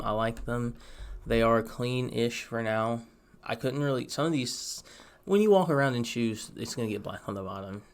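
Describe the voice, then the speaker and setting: A man's voice: mid-range voice, a little hiss or hum. A young man chatting casually through a sneaker review, rambling with asides and filler words. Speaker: sneaker vlogger. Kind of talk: casual product review to camera.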